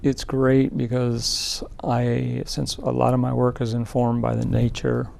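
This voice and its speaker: An older man from Indiana, an artist guest in a small TV studio, talking through a lapel mic in a relaxed, thoughtful way with many fillers. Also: medium pitch, a little slow, vocal fry. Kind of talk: casual interview talk.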